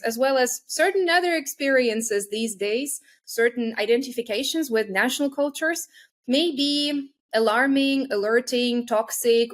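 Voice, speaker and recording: Articulate woman from Russia, fairly high voice. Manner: measured, articulate, carefully chosen words. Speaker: guest, intercultural communication expert. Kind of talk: reflective interview answers.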